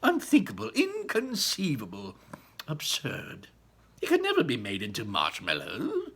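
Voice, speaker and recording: A man's voice: a little high, huge pitch swings, measured pace, a bit bright, breathy edge, pitched above the performer's own voice, full character voice. A man voicing a breezy, eccentric chocolate factory owner from a storybook, exclaiming and reassuring. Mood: excited.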